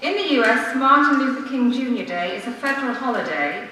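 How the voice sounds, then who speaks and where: Distant echoey room, woman, higher bright voice, formal public address, earnest measured delivery. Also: expressive. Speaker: member of the public addressing a city council. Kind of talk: formal prepared speech, read aloud. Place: large council meeting room, indoors. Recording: echoey room from far off, faint noise.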